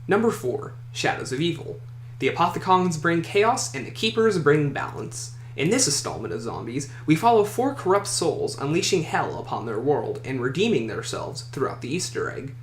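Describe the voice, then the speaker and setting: In an echoey room with faint noise, a man delivers scripted countdown commentary as a video host. His fairly high voice moves up and down in a very lively way. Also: normal speed.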